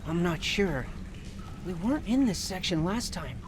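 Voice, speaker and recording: An eager young Spartan brother, animated. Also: male voice, high voice, unhurried, background noise. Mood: thoughtful. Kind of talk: video game voice acting.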